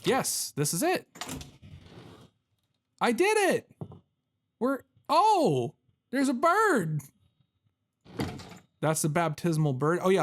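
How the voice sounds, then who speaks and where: Guy doing a let's play, chatty and self-deprecating, muttering through puzzles to himself. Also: on the high side. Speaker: gaming video host. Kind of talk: casual let's-play commentary.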